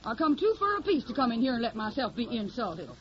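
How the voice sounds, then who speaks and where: A woman's voice: fairly high pitch, big pitch swings, quick pace. A woman in an old radio drama playing a young woman who poses as a naive country girl from Tennessee, with a folksy Southern drawl and a feisty, plain-spoken manner. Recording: dull lo-fi old radio recording.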